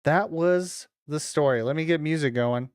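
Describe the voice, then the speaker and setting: A man's voice: fairly high pitch, moderate pace, smooth, no room sound, studio quality. A man livestreamer talking casually to his chat, informal and teasing, with some swearing. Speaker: livestreamer hosting a watch-along.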